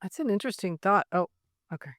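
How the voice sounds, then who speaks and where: A woman author on a panel who speaks in a loud, forthright voice while thinking through an idea. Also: mid-range voice, expressive, quick pace, dry recording, studio quality. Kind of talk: panel discussion answer. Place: library event space.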